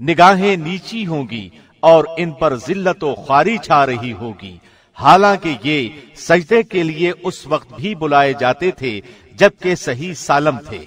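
A man with a solemn, measured, clearly articulated delivery, formally reading a scripture translation like a professional radio presenter. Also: a little high, animated, a bit bright.

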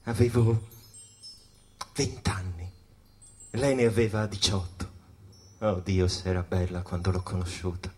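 An actor playing a smitten, gin-soaked saxophonist reminiscing in a lingering, wistful theatrical monologue. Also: male voice, low-pitched, fairly even intonation, measured pace, long pauses. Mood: wistful wonder. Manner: lingering, repeats phrases, wistful. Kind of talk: theatrical narrative monologue over jazz. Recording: music bed under the voice. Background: jazz music.

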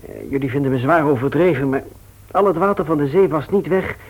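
A male radio play actor playing a nervous, earnest bachelor, speaking in a halting but insistent way. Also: a little high, animated. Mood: nervous, confessional. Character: nervous, hurried bachelor friend, guilt-ridden and earnest. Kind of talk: naturalistic Dutch radio drama acting.

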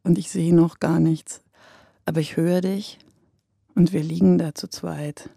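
A woman narrating a personal audio piece in an intimate, reflective, measured manner, from a script. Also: on the low side, fairly even intonation, creaky, warm tone, husky, no echo, clean studio sound.

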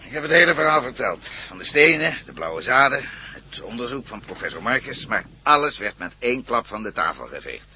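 A man's voice: mid-range voice, big pitch swings, a little fast, sharp tone, slight background noise. An actor playing a blunt, frustrated male police commissioner in a radio drama. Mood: indignant. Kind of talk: Dutch radio drama acting. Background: gunfire at a shooting range.

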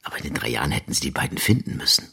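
Very deep, gravelly detective. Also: male voice, sing-song, very fast, bright, forceful tone, full character voice. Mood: probing. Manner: probing, dryly ironic. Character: dogged, dry-witted male police detective in a crime drama. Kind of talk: radio play dialogue.

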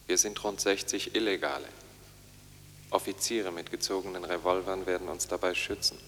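An actor playing a resolute, hushed underground resistance conspirator remembered in a German radio drama. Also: male voice, low-pitched, fairly even intonation, moderate pace. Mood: secretive, resolute. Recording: long hollow reverb, faint noise and hum.

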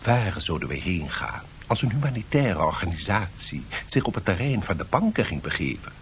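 Satirical radio play: officious, patronising diplomat, very animated intonation, faint noise behind. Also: male voice, deep voice. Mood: evasive, placating. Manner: measured, officious, placating. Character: evasive, patronising male League of Nations bureaucrat. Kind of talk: satirical radio drama acting.

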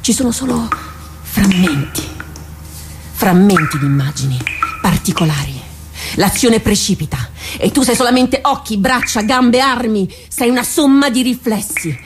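A woman playing a tormented, haunted warrior captain in a radio drama, halting and brooding, repeating herself. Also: a little high, expressive, music in the background. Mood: haunted, hushed. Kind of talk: theatrical radio drama acting. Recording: noisy radio drama recording with clicks.

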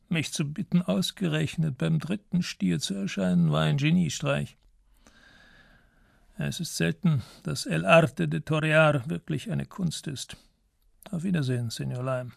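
A formal, courtly gentleman in a radio crime drama, with a gravelly voice set a little higher than most men's. He speaks in a measured, polite way, recorded close and dry in a studio. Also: no echo. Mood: courteous. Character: courtly older Spanish man, Don Felipe. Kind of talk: radio play acting.

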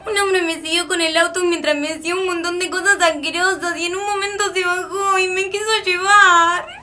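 Radio play role: actress as distressed Argentine girl, very high, bright, crying voice. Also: steady tone, normal speed, slight background noise. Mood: tearful, frightened. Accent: Rioplatense Argentine Spanish. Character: distressed young daughter, frightened and upset. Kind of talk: radio drama acting.

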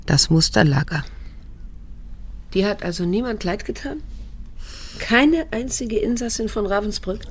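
An actress playing a wounded yet restrained middle-aged daughter in a radio drama, speaking in a measured, sober, pointed way. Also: female voice, mid-range voice, animated, a little hiss or hum. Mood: probing, reproachful. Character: probing, reproachful daughter in her late fifties, wounded yet restrained. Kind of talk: German radio drama acting and narration.

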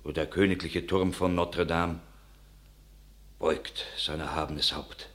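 An earnest country man in a classic radio play, carrying grim news of war. His voice is very deep, and he tells his report with dramatic weight. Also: fairly even intonation, slow pace, a bit bright, heavily acted. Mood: alarmed.

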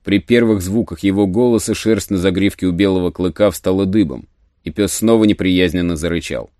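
A man narrating an adventure story in a measured, even storytelling manner. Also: low voice, dry recording, studio quality. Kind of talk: scripted narration of a radio play.

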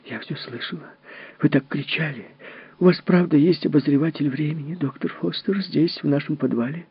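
A man's voice: on the high side, normal speed, slightly rough, voice pushed up. A man voicing a middle-aged grieving mother who pleads and turns frantic. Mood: eager. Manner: pleading, rising to shrieks. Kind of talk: audiobook reading. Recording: dull lo-fi recording.

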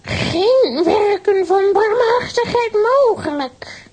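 A woman playing a bitter, wily old witch with a shrill, creaky voice and slow theatrical delivery in a children's radio play. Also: animated, a little mellow, heavily acted. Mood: trance-like, bitter. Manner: slow, drawn-out, theatrical declaiming.

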